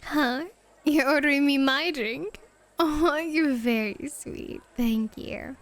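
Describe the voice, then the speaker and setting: A woman playing a flirty, teasing bunny-club hostess in an ASMR roleplay, with coy, drawn-out phrasing. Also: high-pitched, fairly bright tone.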